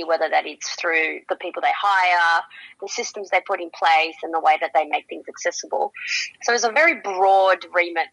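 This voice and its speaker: Low, gravelly woman. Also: bright, forceful tone, no room sound, low-quality recording. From Australia. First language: Polish. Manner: conversational, many fillers like "you know" and "kind of". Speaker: journalist and author, interview guest. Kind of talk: radio interview answers.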